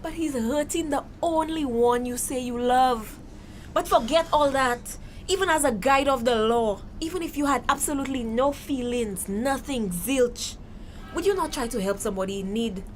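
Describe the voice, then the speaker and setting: A woman's voice: sits high, normal speed, natural voice. A woman with a Caribbean accent playing an outspoken, insistent young daughter in a TV drama. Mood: exasperated, insistent. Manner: insistent, challenging, questioning. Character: outspoken young woman, anxious daughter pleading for her mother. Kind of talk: TV drama dialogue. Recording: clearly noisy background ambience.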